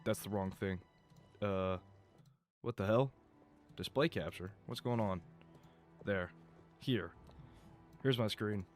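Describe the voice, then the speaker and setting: Live gameplay streamer, male, lowish pitch, rambling and profane, voice fraying; faint music under him. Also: slow, pauses often. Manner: rambling, chatty, swears and laughs. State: losing his voice.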